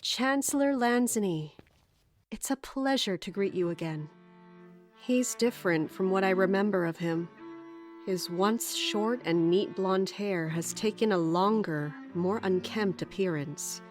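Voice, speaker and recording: A woman's voice: mid-range voice, faint music behind, lightly acted. A woman playing a resolute, guarded guard captain, speaking formally and narrating in a fantasy audio drama. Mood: polite, guarded. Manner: formal, measured, commanding.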